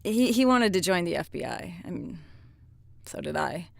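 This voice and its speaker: A woman playing a guarded, rueful policewoman in her thirties in an audio drama, with a hesitant, halting delivery. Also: fairly low pitch, very animated, vocal fry, no room sound, heavily acted. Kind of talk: naturalistic audio drama acting.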